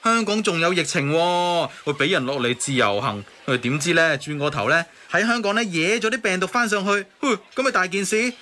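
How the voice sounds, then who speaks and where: A man's voice: high voice, animated, brisk, few pauses, pushed and bright, a little hiss or hum. An actor in a radio drama playing a mocking, sceptical man who scoffs, with a Hong Kong Cantonese accent. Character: mocking, sceptical man teasing his friends.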